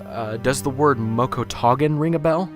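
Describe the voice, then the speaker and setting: A man's voice: mid-range voice, normal speed, lightly acted. A man playing a nervous, unsure professor in a radio drama, stammering and hesitant. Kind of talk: amateur radio drama acting. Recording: radio drama with music underneath.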